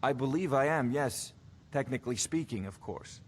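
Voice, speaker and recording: A man's voice: medium pitch, animated, normal speed, lightly acted. Haughty scholarly court wizard, acted by a man. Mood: businesslike. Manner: condescending, formal, lecturing. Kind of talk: scripted video game dialogue.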